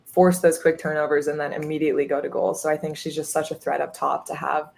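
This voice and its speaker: Upbeat young woman with a gravelly edge. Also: low-pitched, flat delivery, quick pace, a little mellow, slight background noise. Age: 20s. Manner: upbeat, many fillers like "um" and "like". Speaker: guest, college goalkeeper. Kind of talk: casual podcast interview.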